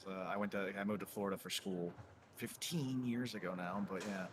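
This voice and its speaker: A man's voice: sits low, moderate pace. A man in his thirties from Connecticut, calling live table soccer in an easygoing, chatty way. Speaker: commentator. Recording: live venue noise behind.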